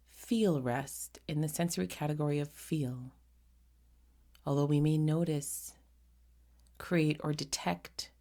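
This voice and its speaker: Slow, low-voiced woman guiding meditation. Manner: gentle, unhurried, pausing often between short phrases. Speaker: meditation guide. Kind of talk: guided meditation.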